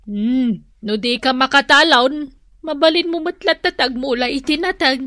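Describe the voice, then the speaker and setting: A woman's voice: high-pitched, moderate pace, sharp tone, no room sound, clean studio sound. A woman playing a nagging, practical farmer's wife in an Ilocano radio comedy-drama, chiding and emphatic, with an Ilocano accent.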